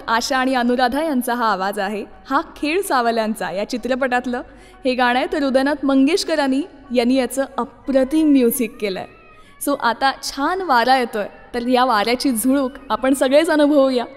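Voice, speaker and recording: A woman's voice: high-pitched, normal speed. A woman presenting a radio show in a scripted narrating style. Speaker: radio host. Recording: long reverb, music behind. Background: music.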